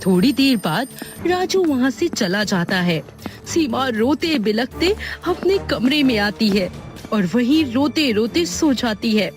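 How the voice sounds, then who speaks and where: A woman's voice: on the high side, darkish tone. A woman narrating a scripted moral story.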